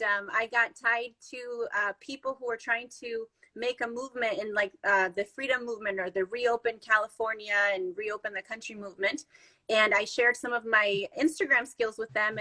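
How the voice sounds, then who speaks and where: A woman's voice: a little high, bright, forceful tone, rough recording. A woman from Chile, a podcast guest, talking in a chatty, upbeat way with many fillers. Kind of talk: casual conversation.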